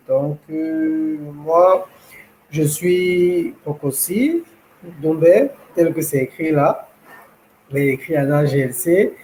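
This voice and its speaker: Young man, school founder, interview guest; measured, halting delivery, a touch high-pitched, faint hiss. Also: warm tone, poor audio. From Cameroon. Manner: measured, hesitant with many fillers and repeats. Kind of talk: interview, personal account.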